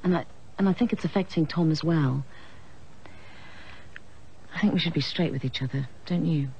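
A middle-aged woman acting a troubled married teacher in a television drama, speaking with an English accent, stammering, breathy and hesitant. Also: sits low, moderate pace, dark tone, highs cut off, slight background noise. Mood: hushed. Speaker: teacher in a soap opera.

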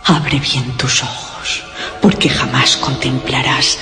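A woman's voice: a bass voice, steady tone, slow pace, sharp tone, exaggerated voice. A woman playing a mysterious, hypnotic woman in a radio drama, speaking in a spellbinding, theatrical way. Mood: ominous, commanding. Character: mysterious, hypnotic woman luring a man onward. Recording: echoey, long reverb, music behind. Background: faint music.

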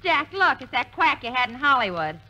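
A woman's voice: high voice, very animated, fairly quick, pushed and bright. A woman trading teasing wisecracks as a regular on a 1940s radio comedy. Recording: noisy old radio broadcast.

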